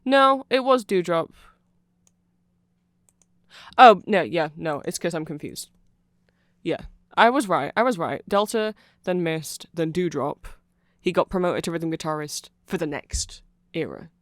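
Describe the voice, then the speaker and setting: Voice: mid-range voice, expressive, unhurried, a bit bright, no room sound, studio quality. A person of unclear sex giving a rambling ASMR explanation of fan lore, often getting flustered, trailing off and correcting themselves with amused enthusiasm.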